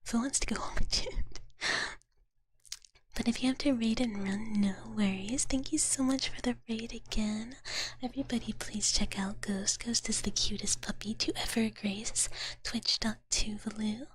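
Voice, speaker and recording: A woman streaming ASMR in a soft, breathy whisper, chatting casually and playfully with viewers up close to the microphone. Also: on the high side, steady tone, normal speed. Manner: playful, speaks of self in third person. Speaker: ASMR livestreamer.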